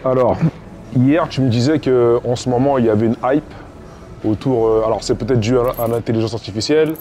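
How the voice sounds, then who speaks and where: A man with an average-pitched voice hosts a casual interview. His questions come in informal, slangy, halting phrases. Some background noise surrounds him. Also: warm tone.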